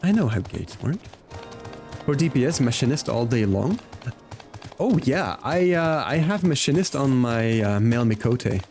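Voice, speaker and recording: Man, medium pitch, game streamer, casual live commentary, friendly, thinking aloud, chatting to viewers, clear music behind. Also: very animated, measured pace, a little mellow. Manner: friendly, talks directly to chat, thinks aloud.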